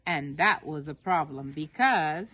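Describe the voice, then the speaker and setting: A woman narrating a children's story aloud as a storyteller for young listeners, with expressive, emphatic phrasing. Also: sits low, a little slow, a little hiss or hum.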